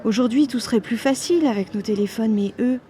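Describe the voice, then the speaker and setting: A woman's voice: fairly high pitch, fast talker, warm tone, natural voice. An actress playing a wistful granddaughter remembering her grandfather, conversational, in an audio drama.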